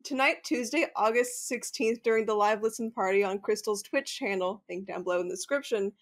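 A woman's voice: mid-range voice, a little fast. A woman co-producer making a casual announcement on a fiction podcast.

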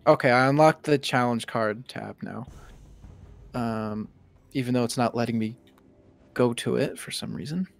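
A man's voice: medium pitch, moderate pace, creaky, long pauses. A young man co-hosting a game stream, chatting casually with an emphatic, complaining manner. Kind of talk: casual gaming chat.

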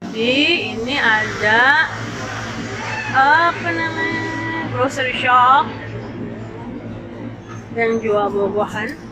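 A woman's voice: high-pitched, expressive, very slow, pauses often, heavy background noise. A woman from Indonesia in her thirties, chatting casually through a cafe visit and slipping English phrases into her talk. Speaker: food vlogger. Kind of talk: casual vlog narration. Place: cafe and grocery shop, indoors. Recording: music playing behind the voice.

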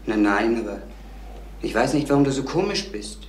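A young actor playing a worried, polite teenage schoolboy son in a German crime radio drama, hesitant and subdued. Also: male voice, mid-range voice, animated, normal speed, lightly acted. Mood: uneasy. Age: young adult. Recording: roomy, faintly noisy old radio recording.

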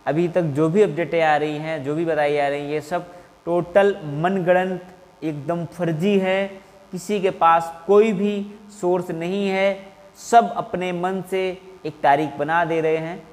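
A man, making a measured, formal appeal to camera as an exam coaching teacher. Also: on the high side, steady tone. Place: small indoor classroom in front of whiteboard. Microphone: clip-on lapel mic. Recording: reverberant, hard-walled room.

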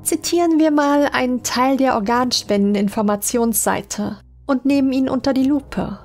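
A woman with a fairly high voice narrates creepypasta in a measured, dramatic way. Music plays under her scripted reading. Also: darkish tone. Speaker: horror story narrator.

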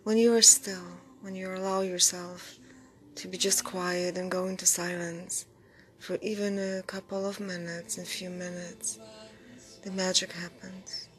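A woman guiding a meditation in a soft, breathy, near-whispered voice, soothing and unhurried, in short phrases with pauses. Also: on the low side, level tone, slight background noise. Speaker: meditation guide. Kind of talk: guided meditation. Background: background music.

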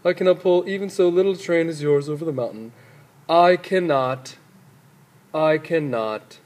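A man's voice: a little high, moderate pace, a little mellow, close to an everyday voice. A tired, rusty old engine from a children's book, acted out by a man. He sighs his words wearily, sounding worn out and aged. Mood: weary. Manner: weary, sighing.

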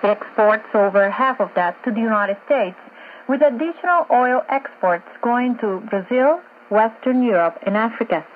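Higher-voiced woman on tinny shortwave radio. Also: expressive, moderate pace, slight background noise. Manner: formal, explanatory. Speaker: radio journalist. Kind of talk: scripted informative radio discussion. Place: radio studio, heard over a shortwave receiver.

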